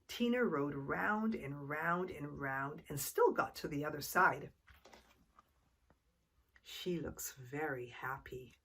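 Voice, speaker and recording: Female storytime reader: lowish, husky, expressive, addressing young children. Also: slow pace. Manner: asks the young listeners questions.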